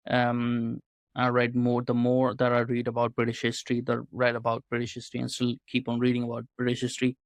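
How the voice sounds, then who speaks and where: A man's voice: medium pitch, no room sound, studio quality. A man being interviewed on a podcast, talking earnestly and often checking in with a questioning "right?". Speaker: podcast guest, writer and commentator.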